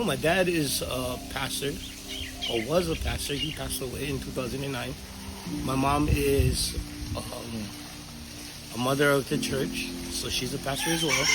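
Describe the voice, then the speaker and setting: A man's voice: medium pitch, heavy background noise. A man from Hawaii with a Hawaiian Pidgin accent tells personal stories casually, in a candid, slangy, playful way. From Kahuku, Hawaii. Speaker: interviewee.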